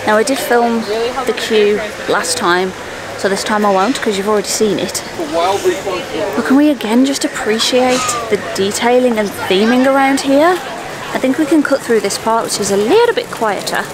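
A woman's voice: fairly high pitch, moderate pace, over a noisy crowd, rough recording. A woman vlogging in a casual, enthusiastic way as she talks to her viewers while walking through a theme park. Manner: chatty and enthusiastic, talking to viewers. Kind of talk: casual travel vlog chat. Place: outdoors in a busy theme park. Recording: loud outdoor park noise.

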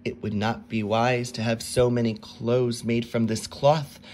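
A man reading a storybook aloud and voicing a wise young boy who answers calmly and firmly. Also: medium pitch, unhurried, slight background noise, natural voice.

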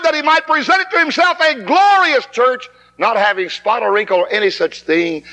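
A man's voice: extremely high pitch, sharp tone. A man preaching a 1960s camp meeting sermon, fervent and emphatic, recorded on old tape in a hall.

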